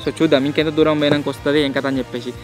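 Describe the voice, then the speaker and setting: Male vlog host, Telangana Telugu accent, very quick informal delivery, high voice. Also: fairly even intonation, soft background music. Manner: informal, slangy, bantering. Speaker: video host. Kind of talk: casual vlog chat.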